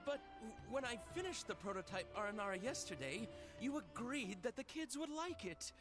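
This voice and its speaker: A fretful young toy maker. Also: male voice, very high voice, very animated, normal speed. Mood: worried. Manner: anxious, apologetic. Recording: game audio with faint background music.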